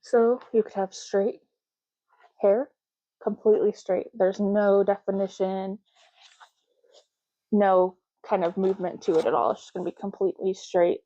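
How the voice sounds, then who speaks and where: Mellow, soft-spoken ASMR woman, muffled sound. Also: medium pitch, flat delivery. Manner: slow, deliberate, repeats words, casual. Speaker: ASMR roleplay host.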